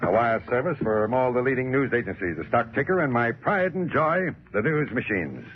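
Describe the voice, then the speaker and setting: Actor as a brash newspaperman, animated delivery. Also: mid-range voice, moderate pace, lightly acted. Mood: boastful, insistent. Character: brash, boastful male newspaper owner. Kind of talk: 1940s American radio drama acting. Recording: muffled old 1940s radio recording.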